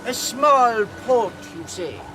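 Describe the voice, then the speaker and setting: A high-voiced, wary boatman. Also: lively intonation, slow, music in the background, heavily acted. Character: wary small-port boatman. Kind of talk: dramatised audiobook acting.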